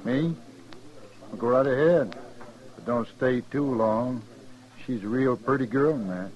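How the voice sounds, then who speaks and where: Male actor as insolent hired gun, very slow goading delivery, muffled vintage radio western sound. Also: medium pitch, darkish tone, lightly acted. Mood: goading, cool. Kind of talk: 1950s radio western acting. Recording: muffled 1950s radio recording.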